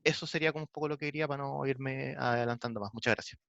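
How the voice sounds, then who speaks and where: Bright-voiced Chilean man, halting on a dull call. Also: mid-range voice, fairly even intonation, vocal fry, no echo. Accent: Chilean Spanish. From southern Chile. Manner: halting, with many restarts and filler sounds. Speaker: discussion participant. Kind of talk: online panel discussion. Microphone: video call. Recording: dull, dry lo-fi video call audio.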